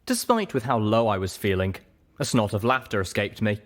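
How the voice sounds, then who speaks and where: A man's voice: lowish voice, big pitch swings, brisk. A man reading scripted first-person fiction as a storyteller. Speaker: story reader.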